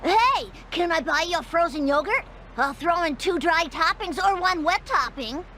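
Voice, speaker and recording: A woman's voice: very high voice, animated, moderate pace, fairly bright tone, a little hiss or hum. A woman voicing a nervous, lovestruck, nerdy ten-year-old boy in a cartoon game, eager and whiny.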